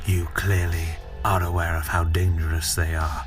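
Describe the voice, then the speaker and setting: An actor playing a gloating, taunting villain in an audio drama, with a drawn-out, mocking, theatrical delivery. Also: male voice, deep voice, level tone, soft background music, lightly acted. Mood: gloating menace.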